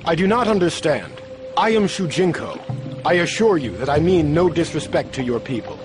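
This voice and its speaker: A man's voice: fairly high pitch, sing-song, moderate pace, music in the background. A male actor playing an earnest, polite martial arts hero in a fighting game, formal and respectful. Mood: humble, curious. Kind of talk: fighting game cutscene acting.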